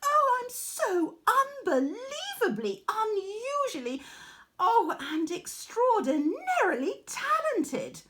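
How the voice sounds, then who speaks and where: A woman's voice: extremely high pitch, sing-song, slow pace, fairly bright tone, voice pushed up, exaggerated voice. A woman voicing an arrogant young weaver in a storybook, gushing and haughty about herself. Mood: boastful, gushing. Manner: gushing, self-admiring, haughty. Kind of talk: storybook character voice.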